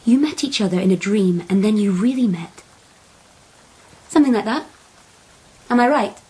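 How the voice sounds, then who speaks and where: A woman acting in a radio drama as a strange, defiant feral young woman, guarded and blunt, with a husky edge to her voice. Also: medium pitch, expressive, normal speed, warm tone, poor audio. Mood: probing, guarded.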